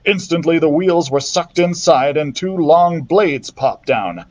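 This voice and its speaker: A male librarian reading a children's adventure book aloud in a steady storytelling manner, with the odd slip. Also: a little high, a little slow. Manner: steady storytelling narration with small slips.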